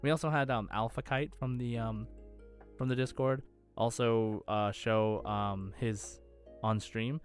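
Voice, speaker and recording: A man with an average-pitched voice co-hosts a gaming podcast. He talks casually and enthusiastically, as if chatting with a friend. Music plays behind his voice. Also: fairly even intonation, unhurried. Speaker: podcast host.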